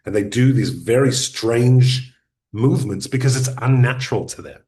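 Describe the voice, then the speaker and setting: A man at a mid-range pitch, coaching an audience on podcasting in an unhurried, conversational style. He speaks deliberately, lets pauses sit, and gives direct, practical advice. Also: darkish tone. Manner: deliberate, uses pauses and restarts. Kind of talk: conversational teaching talk.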